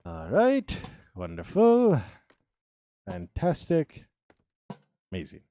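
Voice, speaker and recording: A man's voice: medium pitch, huge pitch swings, slow pace, dark tone, a little hiss or hum. A man in his thirties streaming a building game, chatting to himself and his viewers, humming filler syllables and repeating words as he works. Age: 30s. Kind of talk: casual live-stream commentary while building in a game.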